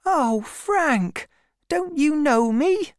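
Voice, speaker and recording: A woman's voice: high-pitched, animated, drawn-out words, dry recording, studio quality, pitched above the performer's own voice, full character voice. A woman reading a storybook as an anxious, lovesick young woman with a shaking, pleading delivery. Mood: nervous, hopeful.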